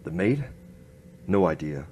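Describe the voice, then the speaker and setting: A man playing a grim, hard-boiled noir inspector, narrating his case in clipped, deadpan radio-drama style. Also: low-pitched, unhurried, slight background noise. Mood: tense, suspenseful. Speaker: narrator and lead actor.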